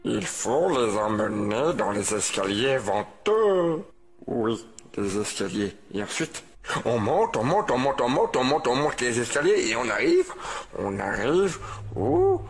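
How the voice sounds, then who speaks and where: A man's voice: medium pitch, sing-song, measured pace, slight background noise. A man playing a scheming creature plotting a trap, muttering theatrically in an amateur film dub. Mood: sly, plotting.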